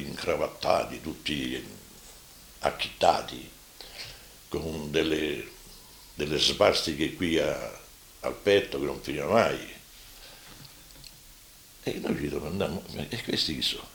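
An elderly man giving spontaneous testimony as a survivor, halting and repetitive but emphatic. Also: low-pitched, gravelly, fairly bright tone, echoey room, slight background noise. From Rome, Italy. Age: elderly, over 80.

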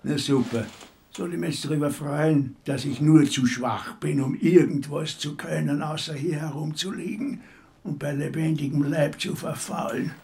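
A man's voice: fairly high pitch, fairly even intonation, moderate pace, creaky, darkish tone. An actor playing a bitter, sarcastic bedridden old man in a radio drama, mocking and blunt, with an Austrian accent. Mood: morose. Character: bitter, sarcastic, bedridden old male care-home patient.

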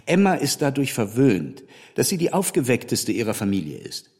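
Radio drama casting: an opinionated, dryly teasing gentleman, formal speech, husky edge, very lively intonation. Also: mid-range voice, normal speed. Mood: frank, measured. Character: frank, critical English gentleman, dryly teasing. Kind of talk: literary radio play dialogue.

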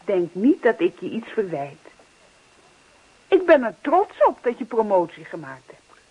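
An actress playing a middle-aged wife in a naturalistic radio play, teasing and reproachful yet affectionate. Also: female voice, medium pitch, huge pitch swings, measured pace, darkish tone, husky, full character voice. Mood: reassuring. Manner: chiding, teasing, coaxing. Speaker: actor. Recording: dull, muffled radio play recording.

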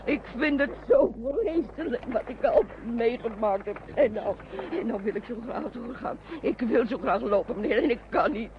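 A woman's voice: on the high side, normal speed, lightly acted. An actress playing a distraught, exhausted woman refugee in an old radio play, tearful and plaintively repeating herself. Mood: pleading, panicky. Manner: plaintive, repetitive wailing. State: upset, tearful. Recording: muffled old radio recording, faint noise.

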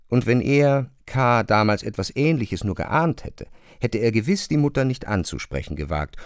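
Low-pitched male narrator in a dry studio. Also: no echo. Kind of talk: literary radio play narration.

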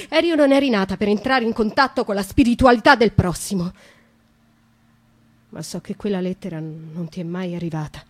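An actress playing an imprisoned young noblewoman in a theatrical radio monologue, speaking intimately and dramatically with deliberate pauses. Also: mid-range voice, breathy edge, lightly acted. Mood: anguished, confiding. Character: imprisoned young noblewoman, wounded, confiding, bitterly defiant. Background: music.

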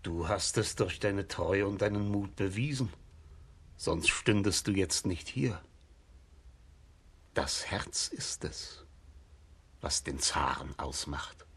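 A man's voice: deep voice, expressive, takes it slowly, long pauses, fairly bright tone, breathy edge, exaggerated voice. A man acting an old, blind, weary tsar, solemn and fatherly, in a stately children's radio drama manner. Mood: reassuring.

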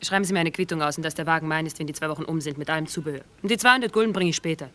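A woman's voice: sits low, rapid-fire, fairly bright tone. An actress playing a calculating, flirtatious camp woman in a German radio play, with a coquettish manner. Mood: coy, calculating.

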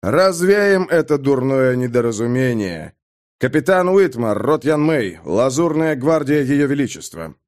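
A man's voice: mid-range voice, very animated, measured pace. A man as a dry, commanding guard captain. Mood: formal.

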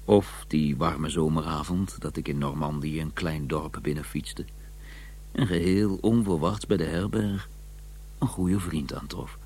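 An actor playing an educated scholar who narrates his memories in a measured, musing, wry way with a husky voice in a radio drama. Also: very deep voice, a little mellow, full character voice. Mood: reflective. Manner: measured, musing, deliberate pauses.

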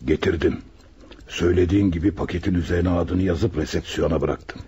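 Villain role: greedy crime boss, low gravelly growl, swaggering threats, muffled radio drama sound. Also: male voice, steady tone, brisk, dark tone. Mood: threatening. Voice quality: gravelly, husky. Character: menacing, greedy, distrustful male gang boss and robbery mastermind. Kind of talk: Turkish radio drama acting.

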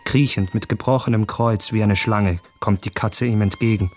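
A man's voice: lowish voice, steady tone, normal speed, a little mellow, close to an everyday voice. An actor playing a feverish, yearning young man in introspective monologue in a German literary radio drama. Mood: uneasy. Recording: studio voice with music behind.

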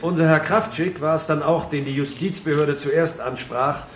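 A man's voice: fairly high pitch, moderate pace, natural voice. An actor in a radio play playing a businesslike male manager who explains things in a formal, matter-of-fact way. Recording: roomy, with clear clicking background noise.

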